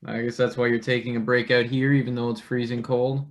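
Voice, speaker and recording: A man's voice: mid-range voice, flat delivery, a little fast, natural voice. A man playing a nervous young college intern in a stage play reading, stammering, rambling and self-deprecating. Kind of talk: live table read of a satirical stage play.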